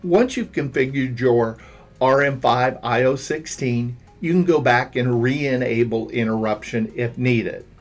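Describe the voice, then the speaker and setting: A man's voice: mid-range voice, unhurried. A man reading a scripted instructional narration as a tutorial narrator, guiding the listener step by step. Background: background music.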